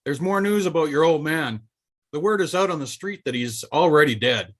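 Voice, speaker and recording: High-voiced, animated mob henchman, acted by a man. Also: normal speed. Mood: matter-of-fact. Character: mob henchman, placating, matter-of-fact underling. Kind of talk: live table read of a film script.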